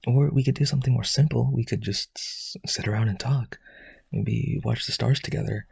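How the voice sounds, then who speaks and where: A man playing a powerful, rich vampire aristocrat, desperately devoted, with breathy, raspy, intense and coaxing speech in a roleplay audio. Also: medium pitch, fairly even intonation, darkish tone, no echo, heavily acted. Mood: tender. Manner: intense, coaxing, begging, halting.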